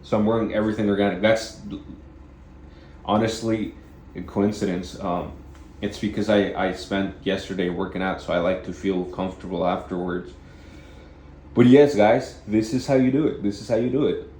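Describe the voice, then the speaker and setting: Deep-voiced guy musing about spirituality, low and slow, echoey room. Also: steady tone, a little mellow, slight background noise. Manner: halting, restarts often, repeats phrases. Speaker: vlogger. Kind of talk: rambling spiritual self-help monologue.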